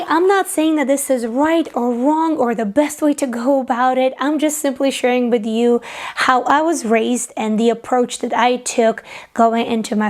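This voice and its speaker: High-voiced young woman from Latvia. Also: a little mellow. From Latvia, Eastern Europe. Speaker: sewing vlogger. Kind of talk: casual personal vlog.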